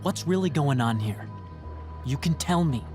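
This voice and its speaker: Possessive teenage boy male actor, game drama: insistent, earnest, very animated pitch, music underneath. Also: measured pace, natural voice. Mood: earnest, consoling. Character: possessive, obsessive, self-righteous teenage boy. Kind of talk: dramatic video game voice acting.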